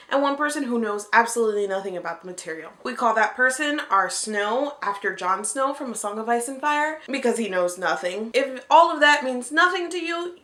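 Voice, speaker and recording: High-pitched, playful young woman vlogger, echoey room. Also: pushed and bright. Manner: playful, self-deprecating, jokey asides. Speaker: video blogger, co-host of a read-along. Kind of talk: casual, scripted-feeling vlog chat to camera. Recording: roomy, echoey indoor sound.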